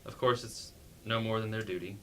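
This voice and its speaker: A man's voice: fairly low pitch, fairly even intonation, fairly quick, pushed and bright, low-quality recording. A man playing a timid, dutiful sheriff's wife in an amateur staged play reading, with hesitant, deferential delivery. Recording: faint background noise.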